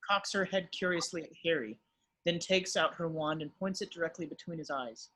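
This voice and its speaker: A man with a husky voice reading stage directions as the narrator of a script table read. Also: fairly high pitch, brisk, bright, forceful tone, rough recording.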